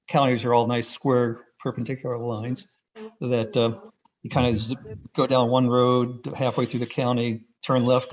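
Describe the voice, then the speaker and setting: A man giving an unscripted, rambling first-person account in halting bursts, as a radio hobbyist on a video call. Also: medium pitch. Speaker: amateur radio contest operator. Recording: dull, band-limited video call audio.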